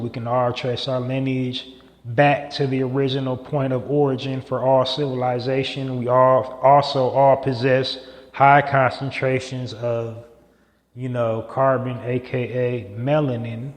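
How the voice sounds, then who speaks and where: A man hosting a podcast solo, talking in a loose, rambling way with many fillers and restarts. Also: medium pitch. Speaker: podcast host. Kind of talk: casual solo monologue. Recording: echoey room with long reverb.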